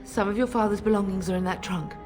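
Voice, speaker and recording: A woman's voice: medium pitch, fairly even intonation, fast, natural voice. A woman playing a middle-aged noblewoman mother in a fantasy video game, commanding, earnest and formal, fierce in her grief. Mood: sorrowful. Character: fierce, grieving noblewoman mother of a besieged castle. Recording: dramatic music behind.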